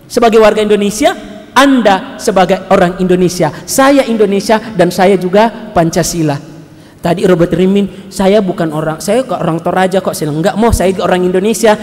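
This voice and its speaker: A man in his thirties, a priest giving a lively homily at mass, animated and questioning the congregation, speaking into a headset mic. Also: high-pitched. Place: large church hall. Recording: long hall reverb.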